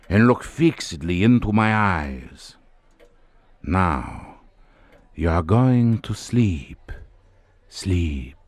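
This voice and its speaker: An actor playing a self-assured French doctor and hypnotist in a radio drama, measured, theatrical and commanding. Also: male voice, deep voice, no room sound, heavily acted. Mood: commanding, hypnotic.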